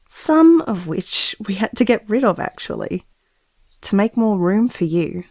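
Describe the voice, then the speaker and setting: A woman playing a slow-talking, doting expectant mother. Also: mid-range voice, big pitch swings, darkish tone, no echo. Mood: fond, chatty. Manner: affectionate, musing, wryly self-aware. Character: doting expectant mother recording tapes for her baby. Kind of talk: intimate audio diary monologue in a drama.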